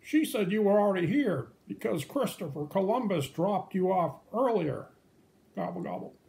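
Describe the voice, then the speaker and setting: A cheeky old turkey puppet, acted out by a man for a children's show. The voice sits high, well above normal talk, and it teases and wisecracks like a seasoned joker. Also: expressive, moderate pace, gravelly, mellow. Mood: teasing. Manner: joking, teasing, punctuated with gobbles.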